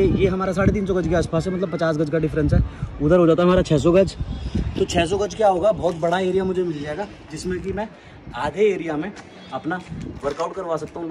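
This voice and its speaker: A young man talking casually to camera as a fitness vlogger, explaining things directly to viewers. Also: sits high. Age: young adult. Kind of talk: casual vlog talk to camera. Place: unfinished building, rooftop and open balcony. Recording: clear background noise, outdoor ambience, traffic.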